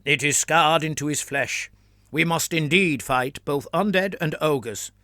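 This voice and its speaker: A man's voice: on the high side, unhurried, pushed and bright, dry recording, voice pushed up. Brief: a man doing a fervent senior priest, insistent and preachy, dry close sound. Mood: urgent. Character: stern zealous male priest, pleading for war.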